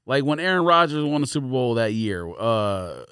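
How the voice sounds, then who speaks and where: A man's voice: medium pitch, sing-song, moderate pace, fairly bright tone, smooth, no room sound, clean studio sound. A man co-hosting a podcast, explaining his points in casual, informal sports conversation. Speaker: podcast co-host.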